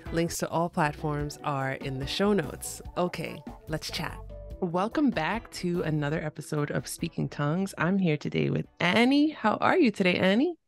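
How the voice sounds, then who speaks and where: A podcast host from New York City with a low, gravelly voice. She reads her intro and then chats with her guest in an upbeat, enthusiastic way, with faint music playing underneath. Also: lively intonation, normal speed.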